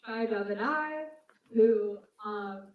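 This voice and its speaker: A woman's voice: medium pitch, slow, a little hiss or hum. A young woman, a student protester, making an earnest, slightly hesitant statement at a press conference. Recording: echoey, dull outdoor audio.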